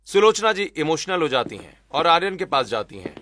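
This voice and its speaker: Bright-voiced man narrating a story. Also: on the high side, very animated, moderate pace. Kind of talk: scripted cartoon story narration.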